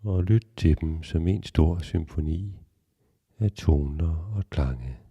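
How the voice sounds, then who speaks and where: Very mellow, deep-voiced man guiding relaxation. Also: breathy edge, lo-fi sound. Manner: calm, measured, instructional, with long pauses. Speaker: yoga nidra guide. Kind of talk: guided relaxation meditation.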